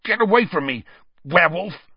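A startled, hostile teenage wizard boy, acted by a male narrator, gasping with disbelief in a storybook reading. The voice is raised in pitch and slightly muffled. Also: expressive, moderate pace, breathy edge, no echo, exaggerated voice. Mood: alarmed, hostile.

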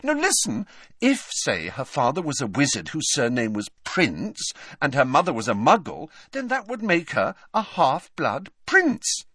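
A man's voice: fairly high pitch, huge pitch swings, a little slow, bright, forceful tone, husky, no echo, clean studio sound, pitched above the performer's own voice, heavily acted. A man voicing a bossy, earnest teenage girl in an audiobook, insistent and emphatic in how she argues. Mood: argumentative.

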